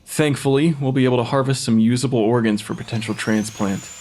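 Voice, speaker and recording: An actor playing a weary, bitter forensic pathologist who talks to the dead in an audio drama. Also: male voice, mid-range voice, fairly even intonation, a little fast, a little mellow, slight background noise, close to an everyday voice. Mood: clinical.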